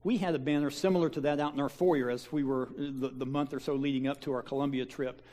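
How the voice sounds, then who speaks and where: A man pastors a small church and talks his congregation through mission-trip photos. His voice sits at a middling pitch, and his delivery is folksy and halting, full of fillers, restarts and offhand asides. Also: fairly even intonation, dark tone. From Kansas. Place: church sanctuary.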